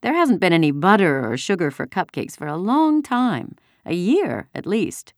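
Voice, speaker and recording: A woman voicing an anxious but gently firm wartime mother in a storybook, with a worried manner. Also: on the low side, sing-song, moderate pace, dry recording, studio quality, pitched above the performer's own voice. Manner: worried, murmuring.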